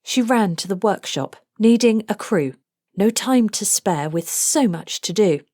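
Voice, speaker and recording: A woman narrating a children's storybook aloud, with deliberate, rhythmic delivery of rhymed phrases. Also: medium pitch, lively intonation, warm tone, no room sound, clean studio sound. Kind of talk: scripted storybook read-aloud.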